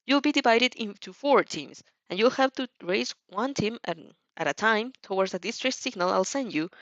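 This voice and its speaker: A woman's voice: mid-range voice, lively intonation, moderate pace, a bit bright, dry recording, lo-fi sound. A woman voicing a cheerful, hearty male hero teacher who talks in announcements for an audio story. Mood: cheerful announcing.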